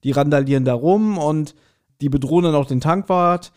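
A man with a somewhat high voice talks as a podcast co-host. He retells a story in casual, conversational German and slips into acted-out quotes along the way. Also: normal speed, a little mellow. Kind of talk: casual podcast chat recapping an audio drama.

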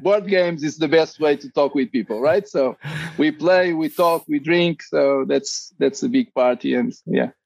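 Man, gravelly voice, dry close sound, chatty podcast guest, halting. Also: a little high, darkish tone, no echo, dull audio. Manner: chatty, hesitant, restarts sentences often. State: dry throat, losing his voice. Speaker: guest board game designer. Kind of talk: casual podcast interview.

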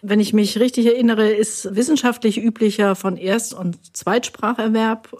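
A woman with a middle-pitched voice explains language concepts in a calm, measured way. She sounds like a university lecturer and checks in with her listener through short tag questions. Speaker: university linguist, interviewee. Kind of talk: explanatory academic talk.

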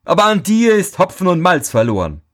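A man's voice: high voice, wildly swooping pitch, fairly quick, a bit bright, pitched above the performer's own voice, full character voice. A man voicing a stern, weary father scolding his son in a storybook fairy tale. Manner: scolding, sighing.

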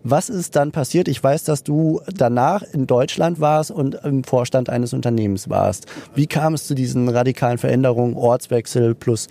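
A man's voice: mid-range voice, normal speed, vocal fry, hardly any pauses, dark tone. A man hosting an interview, asking questions and summing up his guest's answers, sometimes searching for words. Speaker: interviewer, host.

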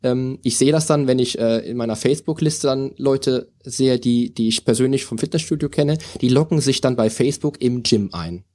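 A man's voice: medium pitch, normal speed, few pauses, darkish tone. A man hosting a podcast, chatty and rambling, with frequent laughs as he talks. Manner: chatty, rambling, many fillers and restarts, laughs often. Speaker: podcast host, personal trainer. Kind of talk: casual podcast chat and sign-off.

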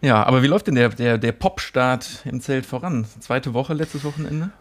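A man's voice: medium pitch, normal speed. A man co-hosting a podcast in casual chat, joking and often tagging his sentences with a questioning "ne". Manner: chatty, joking, often ends with "ne?". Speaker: podcast co-host.

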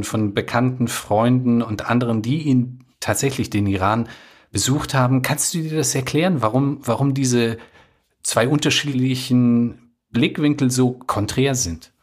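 A man hosts a conversation and leads it with careful, probing questions. His voice sits at a middle pitch for a man, and he sometimes repeats a word while he frames a thought. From Afghanistan. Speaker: interviewer.

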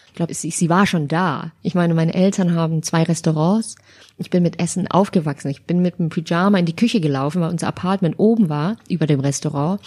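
Relaxed, dark-toned woman guest from Bavaria. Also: fairly low pitch, brisk. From Bavaria, Germany. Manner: informal, conversational. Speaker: podcast guest, lifestyle and travel blogger. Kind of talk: casual interview chat. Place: living room.

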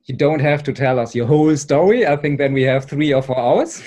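A man hosting an interview, speaking English as a German native, in halting phrases with hesitant restarts. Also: medium pitch, dark tone. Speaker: podcast host, interviewer. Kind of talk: interview questions.

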